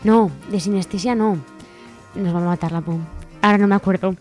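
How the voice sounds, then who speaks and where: A woman's voice: mid-range voice, steady tone, fairly quick, a little mellow. A woman co-hosting a community radio program, reading headlines in a casual way. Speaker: radio co-host. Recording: faint music bed behind.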